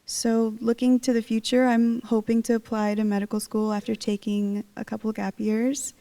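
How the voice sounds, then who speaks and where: A woman's voice: a little high, moderate pace, distant mic, rough recording. A young woman, a senior student and peer advisor, introducing herself on a panel with frequent "um"s. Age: young adult. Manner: steady, frequent "um".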